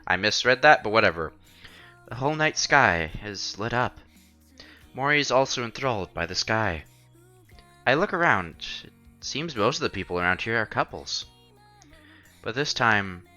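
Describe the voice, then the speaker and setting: Male streamer, expressive visual novel read-aloud, forward bright tone, faint music underneath. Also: mid-range voice.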